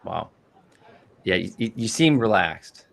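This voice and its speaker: Man, deep gravelly voice, livestream host, relaxed rambling patter. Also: a little slow. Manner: loose, filler-heavy, upbeat host patter. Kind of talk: casual crypto livestream chat.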